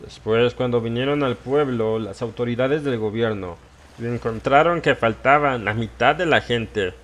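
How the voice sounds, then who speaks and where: An old, drink-sodden villager, acted out by a male narrator tells a tale of small-town horror. He is haunted and agitated, delivering it as a dramatic audiobook monologue at a middling male pitch. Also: fairly bright tone, natural voice. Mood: agitated, grim. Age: older.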